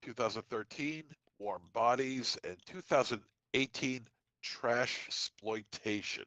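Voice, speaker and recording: A man with a head cold co-hosting a podcast, reading dates and film titles with quick offhand asides. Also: medium pitch, fairly bright tone, dry recording. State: congested from a cold. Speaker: podcast co-host. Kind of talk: casual podcast segment reading trivia.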